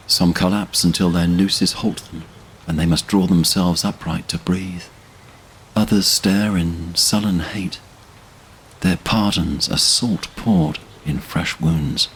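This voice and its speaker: Very deep, slow-paced male narrator. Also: fairly even intonation, slight background noise. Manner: solemn, measured, dramatic. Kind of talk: literary fantasy audio drama narration.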